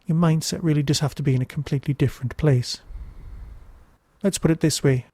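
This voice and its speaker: Wry male podcast reviewer, mellow and dry-sounding. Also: a little high, fairly quick, breathy edge, dry recording. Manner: wry, digressive, conversational asides. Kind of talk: casual solo review commentary.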